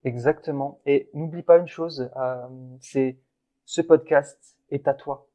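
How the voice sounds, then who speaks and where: A man's voice: a little high, fairly even intonation, takes it slowly, dark tone, dull audio. A man co-hosting a casual motivational podcast, talking in an earnest, encouraging way with frequent restarts. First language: French. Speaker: podcast co-host.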